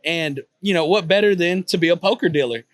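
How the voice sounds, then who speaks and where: A man in his twenties talks in an easygoing Texan drawl, his voice sitting a little high for a man. He rambles comfortably, dropping "you know" every few phrases as he answers questions. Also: fairly quick, no room sound. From Texas, USA. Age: 20s. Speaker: guest, poker vlogger. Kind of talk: casual interview chat. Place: on a cruise ship.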